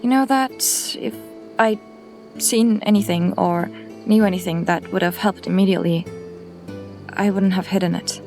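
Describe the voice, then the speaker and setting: A woman performs Lyra, a stubborn young female cat weighed down by guilt. Her mid-range voice comes out hesitant and apologetic, quick to turn defensive. Soft music runs under her lines. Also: medium pitch, steady tone, lightly acted. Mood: uneasy. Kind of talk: audio drama acting.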